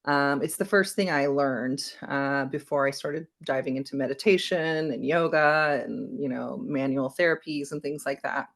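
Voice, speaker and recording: A woman's voice: extremely low pitch, moderate pace, creaky, hardly any pauses. A woman in her forties who grew up in Belgium, a wellness coach being interviewed on a podcast, talking reflectively with frequent ums and restarts.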